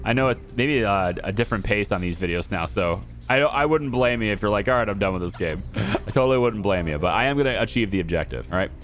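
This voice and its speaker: Hoarse low-voiced man muttering through a game. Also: expressive, fast, a bit bright, slight background noise. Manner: thinking aloud, muttering to himself and the viewers. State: hoarse, losing his voice. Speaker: gaming YouTuber. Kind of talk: casual let's-play commentary. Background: game music.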